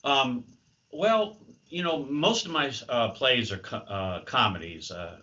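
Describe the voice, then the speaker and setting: An American man giving unscripted interview answers as a guest, halting as he searches for words, over a muffled remote call. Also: mid-range voice, expressive, bright, forceful tone. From United States.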